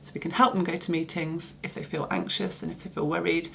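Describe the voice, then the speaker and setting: A woman with an English accent speaks steadily and informatively about the service, pausing on the odd filler. Her voice sits a little low and has a gravelly fry to it. Faint room hiss sits under her. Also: very animated, rough recording. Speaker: advocacy service worker. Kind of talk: explaining a service to camera.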